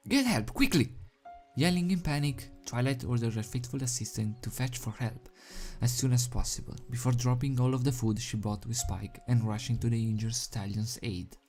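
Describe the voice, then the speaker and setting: A man's voice: mid-range voice, darkish tone. Amateur guy reading fanfic dramatically, medium voice, trips on words a bit, music in the back. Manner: stumbles over words and rereads phrases. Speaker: amateur fan fiction reader. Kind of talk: dramatic scripted story narration.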